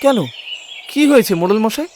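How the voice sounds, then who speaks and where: A man's voice: very high-pitched, expressive, fairly quick, a little mellow, slight background noise. A man voicing a poor, earnest cart-puller. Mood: pleading. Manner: pleading and sorrowful. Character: poor honest male cart-puller, young adult, downtrodden. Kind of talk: animated storybook voice acting. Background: background music.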